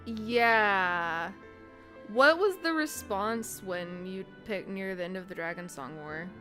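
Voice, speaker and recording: Woman streamer just chatting over a game, mid pitch, soft music in the bg. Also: takes it slowly. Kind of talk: casual gameplay commentary.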